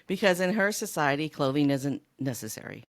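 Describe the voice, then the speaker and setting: A woman with a notably low voice co-hosts a casual book discussion. Her intonation is very lively, swinging up and down as she chats. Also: brisk. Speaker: podcast co-host.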